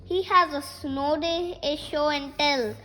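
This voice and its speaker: High-pitched young child reading slowly. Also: steady tone, sharp tone, poor audio. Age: child, 6 years old. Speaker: host of a children's read-aloud channel. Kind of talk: reading picture books aloud.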